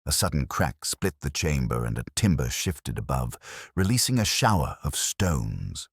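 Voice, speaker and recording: Male audiobook narrator, very low pitch, lively intonation, measured dramatic delivery, dry close sound. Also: breathy edge, no room sound. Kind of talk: dramatic scripted mystery narration.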